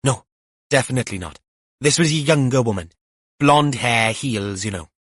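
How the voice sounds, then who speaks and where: A man's voice: medium pitch, animated, moderate pace, a bit bright, no room sound, studio quality, pitched above the performer's own voice. A man voicing a chatty, protective older woman neighbour in an audiobook, with a sing-song, emphatic delivery. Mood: gossipy.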